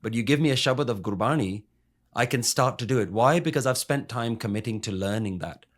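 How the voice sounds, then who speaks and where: Male spiritual speaker, mid-pitched, earnest and emphatic, leans on rhetorical questions. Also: fairly quick. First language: English. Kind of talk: earnest teaching talk.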